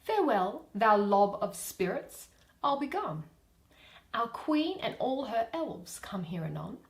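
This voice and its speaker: A woman's voice: fairly high pitch, sing-song, unhurried. A woman performing a dainty wandering fairy in lilting, theatrical verse. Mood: airy, dutiful. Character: dainty wandering female fairy serving the fairy queen.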